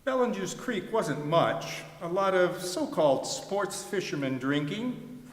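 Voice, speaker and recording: A plainspoken, wry fisherman husband, read by a man in a readers theater monologue. His voice is on the high side for a man and rings with long reverb in an echoing hall. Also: very animated, measured pace. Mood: wry, reflective.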